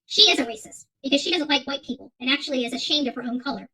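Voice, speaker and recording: A woman's voice: high voice, very fast, pushed and bright. A woman hosting an online channel, ranting off the cuff to her audience in a combative, emphatic way, repeating phrases for weight. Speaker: host of an online commentary channel. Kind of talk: unscripted rant to an audience.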